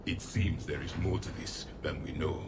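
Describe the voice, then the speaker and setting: A man's voice: very deep voice, steady tone, normal speed, over music. A man playing a grave, cunning alien warrior in a video game, speaking in terse, ominous pronouncements. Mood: grave, knowing. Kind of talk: video game cutscene acting. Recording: clear background noise.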